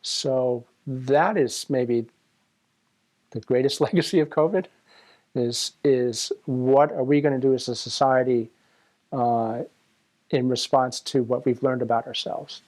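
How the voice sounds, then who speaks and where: A man's voice: mid-range voice, fairly even intonation, unhurried, a little mellow. A man speaking reflectively in an interview.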